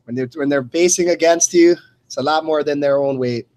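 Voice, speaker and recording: A man with a notably high voice calls a fight live as a radio play-by-play commentator. He speaks in short, halting bursts, often repeating a phrase as he follows the action. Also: a little mellow.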